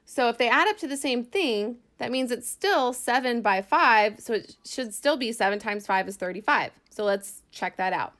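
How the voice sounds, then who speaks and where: A woman's voice: a little high, animated, moderate pace, hardly any pauses, a bit bright. Woman teaching math in a casual, step-by-step way. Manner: casual, step-by-step explaining with self-talk. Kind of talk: informal worked-example math lesson, thinking aloud.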